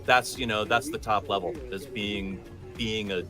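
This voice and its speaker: A man's voice: fairly low pitch, steady tone, moderate pace, music in the background. An American man from Southern California chatting casually as an interviewed voice actor. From Southern California, United States.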